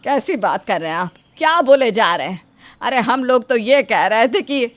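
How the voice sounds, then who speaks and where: Woman playing high-voiced, indignant parent of a groom. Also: lively intonation, moderate pace, background noise, lightly acted. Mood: protesting. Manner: exclaiming, scolding. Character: indignant, suspicious parent of the groom, in family drama.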